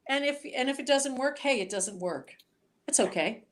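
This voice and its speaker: A woman singer-songwriter being interviewed on a podcast, talking in an animated, chatty way. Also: sits high, moderate pace, background noise. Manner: animated, chatty storyteller, voices quoted reactions.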